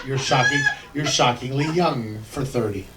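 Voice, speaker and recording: A man's voice: medium pitch, moderate pace, heavy background noise. A man doing a stand-up comedy set, joking and laughing through his punchlines. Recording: echoey club, distant mic, crowd.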